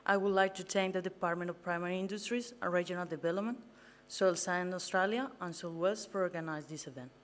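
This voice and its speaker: A young woman from Colombia with a Colombian Spanish accent, giving a prepared talk to an audience as a master's student, earnest and a little nervous, stumbling on some words. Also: lowish voice. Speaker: guest speaker, master's student. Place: event venue.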